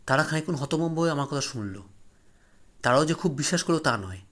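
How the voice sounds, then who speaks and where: A man's voice: a little high, expressive, fast, a bit bright. A man narrating a horror story in the first person, solemn, dramatic and emotive.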